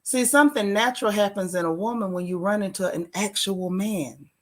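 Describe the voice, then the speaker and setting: A woman hosting a casual live-stream chat and giving motherly advice, slowing down to stress her points. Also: mid-range voice. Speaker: host.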